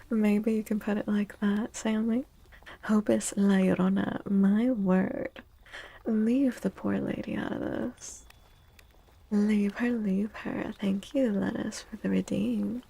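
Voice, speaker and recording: A woman streaming tarot readings in a soft ASMR style, gentle and breathy, often near a whisper with unhurried pauses. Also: medium pitch, steady tone.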